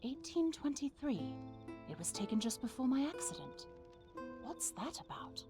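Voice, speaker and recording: A woman's voice: high voice, sing-song, moderate pace. A woman playing a determined young monster hunter in a video game, earnest and focused. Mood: pondering. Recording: music behind the voice.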